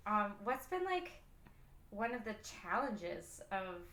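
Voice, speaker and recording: A woman's voice: mid-range voice, very animated, takes it slowly, bright, forceful tone, roomy sound. A woman radio host chatting enthusiastically with her guests, recorded inside a small trailer. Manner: bubbly, enthusiastic, many likes. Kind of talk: casual interview chat. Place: small trailer on an outdoor theatre site.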